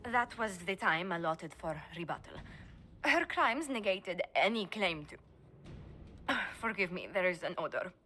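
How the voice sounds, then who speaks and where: A woman playing a formal, measured female ambassador who announces judgments in a video game. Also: fairly low pitch, lively intonation, bright, forceful tone, a little hiss or hum. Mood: awkward, officious. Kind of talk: scripted video game dialogue.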